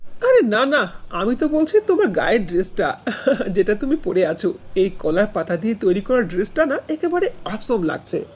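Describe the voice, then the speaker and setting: A woman's voice: mid-range voice, sing-song, moderate pace, a little mellow, slightly rough. A woman voicing a businesslike, emphatic man who owns a shop and factory, in a fairy-tale cartoon. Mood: enthusiastic. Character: male shop and factory owner, businesslike customer.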